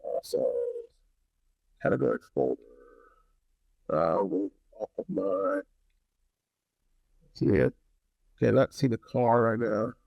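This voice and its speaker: A man with a low-pitched, creaky voice talks through a casual hands-on product review. His delivery is conversational, and he repeats phrases to drive points home. The sound is dry and close. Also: very animated, takes it slowly, pauses often, no echo, dull audio.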